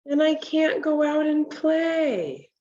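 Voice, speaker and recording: Slow, higher-pitched woman reading to children. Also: big pitch swings, warm tone, highs cut off. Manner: deliberate, instructional, asks the listener questions. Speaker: read-aloud presenter for children. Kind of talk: children's picture book read-aloud with commentary. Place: home kitchen, indoors.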